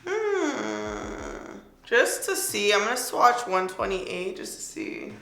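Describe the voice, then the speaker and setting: Raspy-voiced chatty woman vlogger. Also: mid-range voice, takes it slowly, reverberant. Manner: chatty, excited, filler-heavy. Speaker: beauty vlogger. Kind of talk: casual product review.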